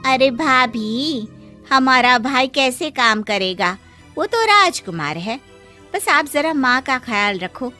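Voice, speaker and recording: A woman's voice: high-pitched, animated, takes it slowly, bright, forceful tone, faint music behind, full character voice. A woman playing a snobbish, evasive married sister-in-law in a cartoon story, condescending and dismissive.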